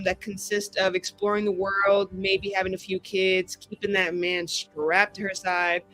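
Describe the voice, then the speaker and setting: A woman's voice: mid-range voice, fairly bright tone, poor audio. A woman playing in a tabletop show, chatting casually with playful, sarcastic, swearing delivery over her character. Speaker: player on an actual-play tabletop show.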